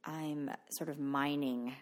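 A woman's voice: low voice, fairly even intonation, slow. A woman talking casually and reflectively about her own work as an interview guest. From Canada. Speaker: interview guest, visual artist.

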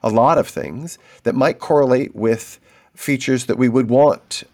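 A man hosting an interview, working through long hypotheses out loud and asking probing questions. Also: medium pitch, measured pace. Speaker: interviewer host.

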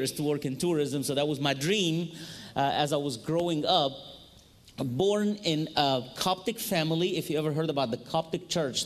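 A man from Egypt, a native Arabic speaker with an Egyptian accent, giving an earnest, storytelling seminar talk into a microphone in a hall. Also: a little high. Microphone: handheld or stand microphone.

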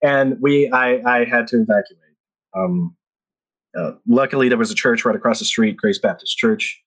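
Guy in his 30s from the philippines, rambling through a story, medium pitch. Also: normal speed, a bit bright, low-quality recording. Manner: rambling storyteller with frequent restarts and laughs. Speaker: podcast guest. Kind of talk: casual chat.

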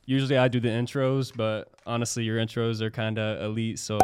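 A man's voice: mid-range voice, flat delivery, a little fast, very noisy. A man in his early twenties with a Florida accent, hosting a podcast in a laid-back, chatty way with casual swearing. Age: 20s. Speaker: podcast host. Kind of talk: casual chat.

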